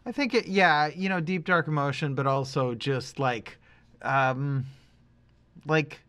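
A man's voice: a little high, slow, sharp tone. A middle-aged man hosting a casual music review podcast, thinking aloud in a rambling, emphatic, opinionated way.

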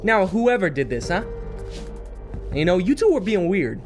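A man's voice: high voice, big pitch swings, normal speed. A man playing a driven, hot-tempered police detective with a troubled past in an audio drama, terse and confrontational. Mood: suspicious, resolute. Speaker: voice actor. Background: music.

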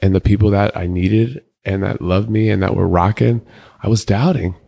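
Young guy w a deep gravelly voice, slangy rambling storyteller, interview guest. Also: steady tone, moderate pace, warm tone. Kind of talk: casual candid interview talk.

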